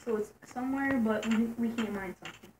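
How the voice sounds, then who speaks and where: A teenage girl playing a game with a friend, argumentative and often muttering low. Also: a little fast, a little mellow, slight background noise, rough recording. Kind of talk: casual chat while gaming.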